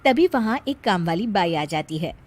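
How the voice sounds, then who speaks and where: A woman's voice: on the low side, lively intonation, normal speed, darkish tone. A woman narrating a cartoon moral story in a plain, scripted storytelling manner.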